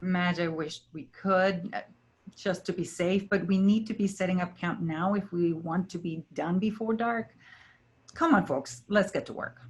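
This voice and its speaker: A woman's voice: lowish voice, measured pace, close to an everyday voice. A woman playing the kindly but firm leader of travelling actors in a staged play reading. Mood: reassuring, firm.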